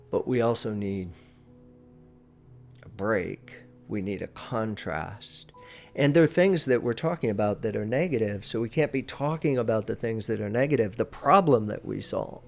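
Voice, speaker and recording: A man's voice: mid-range voice, vocal fry, a little mellow. A man giving an informal instructional talk as a presentation coach, stressing his points emphatically and repeating key phrases.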